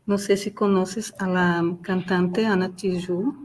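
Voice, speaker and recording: A woman's voice: fairly low pitch, fairly even intonation, takes it slowly, a little mellow. A woman from Brazil, a Portuguese speaker talking in Spanish, moderating a webinar with friendly, rambling questions and frequent tag questions. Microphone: video call.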